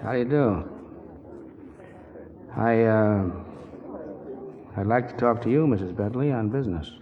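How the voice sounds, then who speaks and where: A man's voice: fairly low pitch, a little slow, lo-fi sound, natural voice. An actor playing a polite but persistent man on business in a 1940s radio drama, casual and a little hesitant. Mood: polite, tentative.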